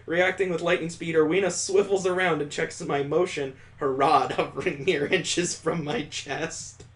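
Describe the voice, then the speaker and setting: Male let's-play host, lightish higher pitch, dramatic story reading with incredulous joking asides. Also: steady tone, moderate pace, a little hiss or hum. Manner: dramatic narration broken by amused, incredulous commentary. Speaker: gaming channel host doing a let's play. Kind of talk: reading visual novel text aloud with joking asides.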